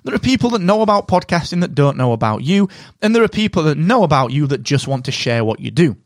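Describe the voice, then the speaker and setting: A man hosting a solo podcast, giving direct, energetic advice while sounding slightly nasal from a cold. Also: fairly high pitch, fast talker, no room sound, clean studio sound. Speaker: podcast host.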